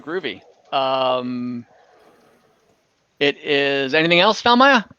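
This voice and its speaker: A man's voice: medium pitch, big pitch swings, takes it slowly, pushed and bright, clear, resonant tone, no room sound, muffled recording. A man working as game master, narrating an online tabletop game casually and thinking aloud in a hesitant, improvised way. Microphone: online call microphone.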